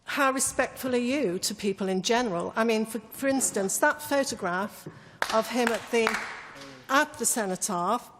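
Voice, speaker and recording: High female audience questioner, pointed, hall reverb, clear noise. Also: moderate pace. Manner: pointed, challenging. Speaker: audience member.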